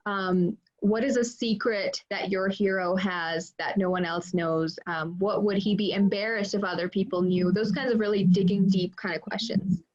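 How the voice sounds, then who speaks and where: A woman's voice: medium pitch, fairly even intonation, moderate pace, few pauses, a little mellow. Chatty upbeat woman writer on an online panel, bit of a dull lo-fi call. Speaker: author on a panel. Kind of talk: casual panel talk over video call. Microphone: video call. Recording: dull video-call audio.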